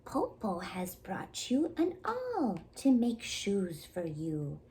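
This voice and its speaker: A woman's voice: medium pitch, huge pitch swings, slow pace, dark tone, full character voice. A woman voicing a sly, greedy storybook wolf who coaxes while pretending to be a feeble old grandmother. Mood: falsely kind.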